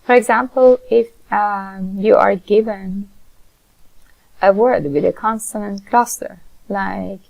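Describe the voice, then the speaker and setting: A woman with a Persian accent giving a recorded linguistics lecture in a measured, explanatory way, with frequent fillers. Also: medium pitch, creaky, darkish tone, poor audio.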